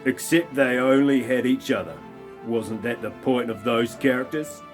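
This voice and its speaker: A man's voice: mid-range voice, moderate pace, faint music behind. A man narrating an amateur audio play, reading a scripted film parody with wry comic asides.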